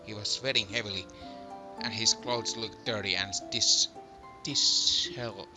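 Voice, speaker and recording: A man's voice: on the low side, steady tone, measured pace. A man reading scripted story narration for an amateur game fandub. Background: loud background music.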